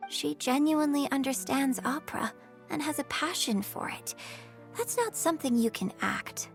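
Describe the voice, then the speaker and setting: A woman voicing a gentle, thoughtful young opera performer in a video game, composed and polite. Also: high-pitched, animated, moderate pace, vocal fry, soft background music. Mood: calm, wistful.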